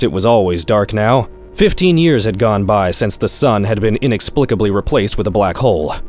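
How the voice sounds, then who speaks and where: A man's voice: lowish voice, a little mellow. A man reading a scripted short story in a wry, sardonic storytelling manner, like a horror-comedy audiobook. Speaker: author-narrator reading own short story.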